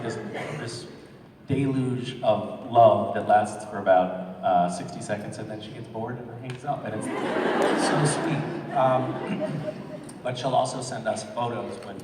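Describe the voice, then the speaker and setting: Male panel guest, lowish voice, earnest and self-deprecating, recorded from afar in a big reverberant hall. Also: background noise. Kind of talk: answering an audience question. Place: large convention hall. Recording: echoey hall from far off.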